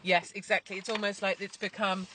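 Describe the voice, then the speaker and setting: A woman's voice: mid-range voice, flat delivery, a little fast, noisy background. A woman, speaking as a reporter and interviewer.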